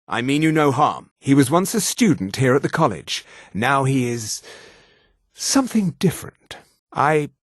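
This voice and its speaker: A man voicing a haughty high elf mage in a fantasy video game, with formal, precise, theatrical diction. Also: no room sound, clean studio sound. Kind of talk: scripted video game character lines.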